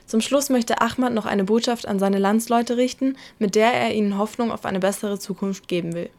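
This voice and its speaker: A woman's voice: on the high side, normal speed, a little mellow. A woman reading scripted narration as the reporter of a news feature.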